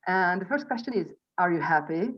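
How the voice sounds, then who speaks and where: A woman in her forties with a Hungarian accent, giving an informal spiritual presentation to an online group as a healing instructor and coach, in halting, deliberate phrases. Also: lowish voice, lively intonation. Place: online video call from Budapest. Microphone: video call microphone. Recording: dull, lo-fi video call audio.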